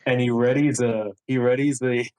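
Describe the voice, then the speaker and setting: A man plays a tabletop role-playing game with friends. He talks slowly and haltingly at a medium pitch, often breaking into giggles, in a casual way. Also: darkish tone, rough recording.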